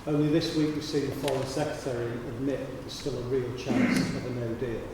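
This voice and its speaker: A man delivers a brisk, assertive campaign pitch at a mid-range pitch. He sounds distant from the mic. Heavy echo from the hall and audible hiss surround him. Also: steady tone. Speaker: parliamentary candidate. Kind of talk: hustings speech. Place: large church hall.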